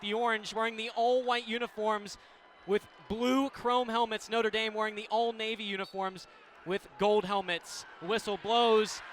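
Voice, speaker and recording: An American man doing live radio play-by-play of a game in clipped descriptive phrases, ready to burst into excited calls. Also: high voice. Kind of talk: live sports play-by-play commentary. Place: indoor stadium broadcast position. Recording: live radio with steady venue noise.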